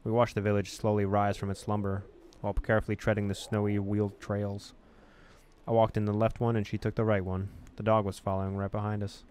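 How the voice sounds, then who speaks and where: A man's voice: fairly low pitch, completely flat delivery, brisk, a little mellow, smooth. A man reading a visual novel aloud as a gamer, mixing story narration with casual commentary. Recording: noticeable background noise.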